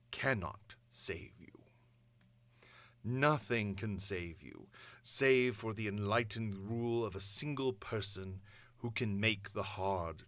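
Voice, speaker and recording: A man performing a grandiose supervillain dictator's monologue, pompous and deliberate, addressing the world in imperious, theatrical declamation. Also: fairly low pitch, fairly bright tone, dry recording. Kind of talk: dramatic villain monologue.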